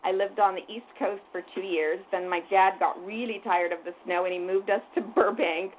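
An older woman from Hungary speaks as a radio talk show guest, composed and measured. Her voice comes over a thin, phone-like line with a faint hiss behind it. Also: mid-range voice, steady tone. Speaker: museum board president.

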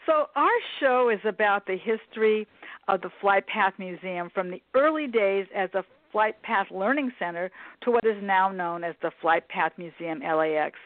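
A woman's voice: medium pitch, dry recording. A woman hosts a radio talk show, chatty and upbeat as she laughs along with her guests. Her voice sounds dry and close, squeezed through a narrow phone-like band. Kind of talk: friendly interview hosting.

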